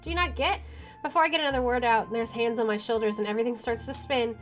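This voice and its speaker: A woman streaming a horror visual novel, reading it aloud with animated commentary. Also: a little high, fast. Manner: animated, laughs between lines. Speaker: let's-play streamer. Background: game music.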